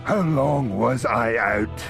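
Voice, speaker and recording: Male space marine: gruff, sardonic, gravelly, unhurried delivery over music. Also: mid-range voice, a bit bright. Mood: alarmed. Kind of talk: science fiction audio drama acting.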